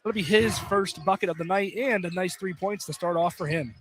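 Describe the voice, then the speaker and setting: A man's voice: high-pitched, fairly quick. A man calling live college basketball play-by-play in clipped phrases, naming players by number. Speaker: play-by-play announcer. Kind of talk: live basketball radio commentary. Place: indoor college field house, courtside. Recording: noisy live gymnasium broadcast.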